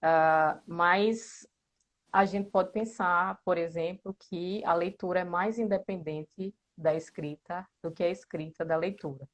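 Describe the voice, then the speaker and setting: Woman, Brazilian accent, slightly low pitch, casual interview answers, explanatory, conversational. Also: fairly even intonation, unhurried, low-quality recording. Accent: Brazilian Portuguese. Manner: conversational, explanatory, with frequent tag questions. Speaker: interviewed member of a writing group.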